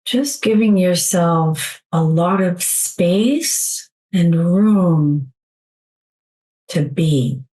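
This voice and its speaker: A woman leading a guided meditation in a soothing, gentle, unhurried way, leaving long pauses between phrases. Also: lowish voice, low-quality recording.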